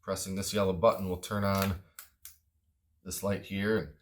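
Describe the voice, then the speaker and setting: A man with a low-pitched voice reviews a product off the cuff. He is matter-of-fact and a little tentative, with an understated delivery. It sounds like casual, unscripted talk. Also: flat delivery, measured pace, a little hiss or hum.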